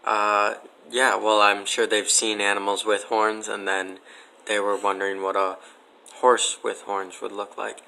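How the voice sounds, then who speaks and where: A man giving casual, matter-of-fact interview answers in a deadpan way. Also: on the low side, a little slow, creaky, a little hiss or hum, poor audio.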